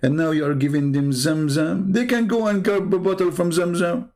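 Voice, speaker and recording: A man's voice: high-pitched, moderate pace, a little mellow. A man with an Arabic accent and Arabic as his first language, hosting a live stream and reading texts aloud with sarcastic, needling commentary. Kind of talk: mocking commentary while reading religious texts.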